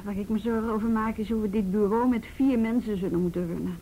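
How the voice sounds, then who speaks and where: A woman's voice: fairly even intonation, fast talker, mellow, natural voice. A woman acting in a naturalistic radio drama as a wry, world-weary police officer with a dry, sardonic manner. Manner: dry, sardonic, mildly swearing. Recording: noisy rumbly radio drama recording.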